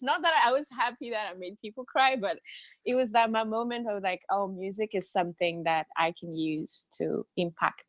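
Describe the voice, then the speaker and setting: Young woman from Madagascar, slow and soft, dry close sound, on a video call. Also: lowish voice, dry recording. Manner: soft, hesitant, laughs between thoughts. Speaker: singer-songwriter panelist.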